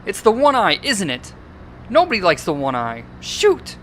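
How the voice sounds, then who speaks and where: A man's voice: high voice, wildly swooping pitch, normal speed, fairly bright tone, a little hiss or hum, full character voice. A man voicing a dejected, timid, bullied young creature boy, mopey and self-pitying, in an amateur cartoon audio drama.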